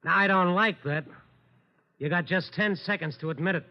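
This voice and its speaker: A man's voice: high voice, moderate pace, lightly acted. A man acts a cornered American killer from an old radio crime play. He talks in clipped slang, weary and menacing. The recording is dull and vintage. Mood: cold menace. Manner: clipped slangy tough-guy talk. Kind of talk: old-time radio crime drama acting.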